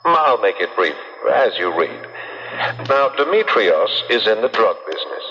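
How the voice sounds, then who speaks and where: Suave mocking ghost, swooping, reverberant voice. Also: male voice, lowish voice, big pitch swings, measured pace, bright, forceful tone, slight background noise, exaggerated voice. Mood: smug, sinister. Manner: suave, taunting, theatrical, chuckling. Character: mocking ghost of a murdered, crooked twin brother. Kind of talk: old-time radio drama acting. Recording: muffled old radio broadcast, long reverb, music.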